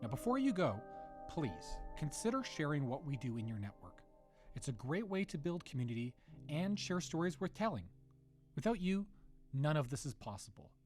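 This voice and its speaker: Friendly guy doing podcast credits, animated pitch, music playing under him. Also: moderate pace. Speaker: writer, director and host. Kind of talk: scripted outro and credits read.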